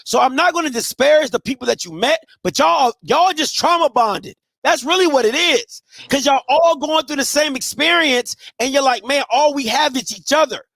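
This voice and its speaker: A high-pitched man in his thirties hosts a live call-in show, talking bluntly and emphatically. He swings from quiet asides to shouting, and the sound is dry and close. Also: animated, moderate pace, creaky, few pauses, no echo. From Maryland. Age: 30s. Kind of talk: casual advice talk to listeners.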